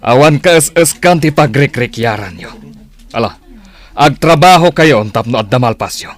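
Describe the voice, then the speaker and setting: A man's voice: mid-range voice, animated, normal speed, close to an everyday voice. A man playing a stern, commanding office boss in a Filipino radio drama, with an Ilocano accent. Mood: scolding.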